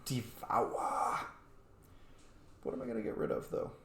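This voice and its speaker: A man doing casual let's-play commentary, thinking out loud as he plays a video game. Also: fairly low pitch, measured pace. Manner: thinks out loud, muttering item names. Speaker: video game player narrating a roleplay playthrough. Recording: roomy echo, background noise.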